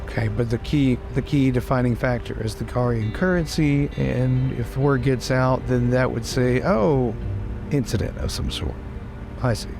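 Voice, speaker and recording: Man playing a courteous, halting adventurer lord. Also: medium pitch, steady tone, creaky, close to an everyday voice. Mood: polite, hesitant. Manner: hesitant, polite, halting. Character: deferential, courteous adventurer lord serving his queen. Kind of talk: improvised tabletop roleplay. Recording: faint music behind.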